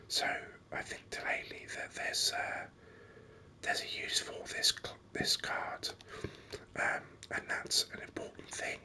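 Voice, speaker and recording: Whispering ASMR gaming commentator. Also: sharp tone. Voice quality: breathy unvoiced whisper. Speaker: gaming video host.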